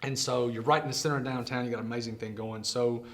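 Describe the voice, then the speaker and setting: A man hosts a relaxed conversational interview. His voice sits at a middle pitch for a man. He is effusive and informal, chatting with his guest like a friend. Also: quick pace. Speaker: interview host.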